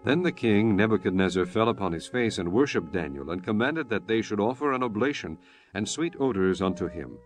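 A man narrating scripture from a script in a measured, formal manner. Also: lowish voice, expressive, faint music behind.

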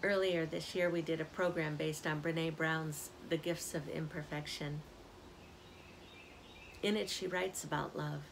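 An older woman, a community minister, giving a gentle spiritual reflection on an outdoor porch, filmed by a camera close up. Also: deep voice, steady tone, vocal fry, a little hiss or hum, low-quality recording. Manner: slow, soft-spoken, reflective. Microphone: camera at close range. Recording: outdoor ambience audible behind.

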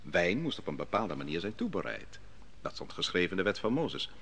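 A man's voice: sits low, very animated, fairly bright tone, slight background noise. An actor playing a grown exile who solemnly recounts his youth at a foreign king's court in an old radio play. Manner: measured, solemn storytelling. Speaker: narrating prophet. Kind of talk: 1950s Dutch radio drama acting.

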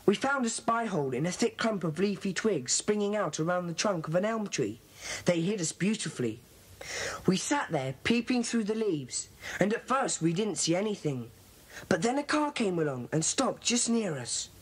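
Husky, bossy English schoolboy leader. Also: female voice, mid-range voice, sing-song, rough recording. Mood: puzzled, intrigued. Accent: British. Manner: brisk, authoritative. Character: schoolboy Peter, brisk bossy club leader. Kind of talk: children's audio drama acting.

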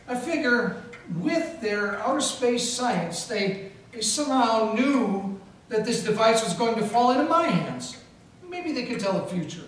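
Man as a wisecracking 1940s gumshoe, lively delivery, echoey room from far, music behind. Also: extremely high pitch, slight background noise, heavily acted. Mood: boastful. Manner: brash, wisecracking, animated. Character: tough-talking two-fisted male detective, 1940s. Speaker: detective and narrator of the play. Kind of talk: live 1940s-style radio play acting. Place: large historic mansion room with a live audience. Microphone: distant camera microphone.

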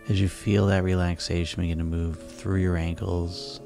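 Deep, creaky-voiced man guiding sleep hypnosis. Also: little pitch movement. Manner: soothing, unhurried, repetitive. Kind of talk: guided sleep hypnosis narration. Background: relaxing background music.

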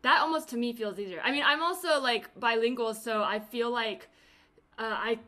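A woman hosting a casual interview podcast, chatty and quick to laugh, talking over a video call. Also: on the high side, sharp tone. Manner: chatty, laughs often, many fillers and restarts. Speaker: podcast host. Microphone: remote video call.

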